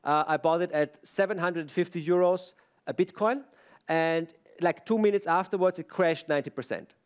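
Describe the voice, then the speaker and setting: A man's voice: fairly high pitch, normal speed. A middle-aged man with an Austrian German accent, a native German speaker, giving a chatty, self-deprecating conference talk on a stage, full of fillers and restarts.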